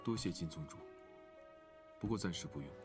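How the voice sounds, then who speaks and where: An actor playing a reserved, formal cultivator lord in an animated fantasy drama, terse and composed. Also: male voice, sits low, brisk, over music, close to an everyday voice. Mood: grave, formal. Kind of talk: animated drama dub acting.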